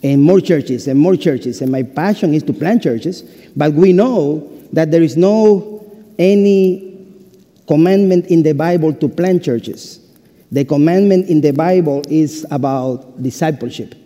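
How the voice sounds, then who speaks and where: A man in his forties preaches in English with a Cuban Spanish accent, his voice dark and mellow. He tells jokes about himself between points, and his words ring out with a long reverb in a university chapel. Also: on the high side, measured pace. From Cuba. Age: 40s. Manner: humorous, warm storytelling with self-deprecating jokes. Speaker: pastor. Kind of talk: sermon.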